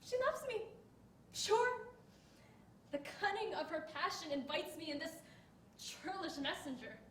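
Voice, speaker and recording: A woman's voice: very high voice, animated, measured pace. A teenage girl playing a bewildered young woman disguised as a man, musing aloud in a puzzled, wry way, recorded from afar in an echoey hall. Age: teens. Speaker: student in a monologue contest. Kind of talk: stage monologue recitation. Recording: echoey room, distant mic, faint hiss.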